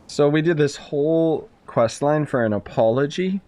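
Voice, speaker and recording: A male game streamer with a slightly high voice reacts casually to what he is playing. His delivery is joking and sarcastic, with an incredulous edge. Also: moderate pace, warm tone.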